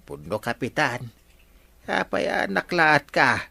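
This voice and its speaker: A man's voice: a little high, big pitch swings, slow pace, gravelly, sharp tone, no room sound, heavily acted. An actor with an Ilocano accent playing a deferential male villager in a comedy radio drama. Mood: puzzled, respectful.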